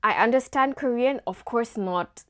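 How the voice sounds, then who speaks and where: A woman's voice: a little high, normal speed, a bit bright. A young woman from Kenya, an engineering student, chatting casually as a guest and filling her talk with "like" and "you know". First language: not English; English one of her first languages. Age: young adult. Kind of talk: casual conversation.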